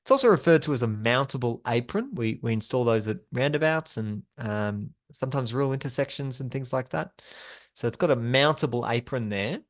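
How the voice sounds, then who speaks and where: A male instructor leads a training session with slides. His voice sits at an average pitch for a man. He explains in an informal, conversational manner. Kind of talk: presenting a training webinar with slides.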